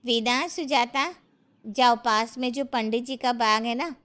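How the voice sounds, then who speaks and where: Woman playing a high-voiced concerned village sister-in-law. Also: a little slow, a bit bright.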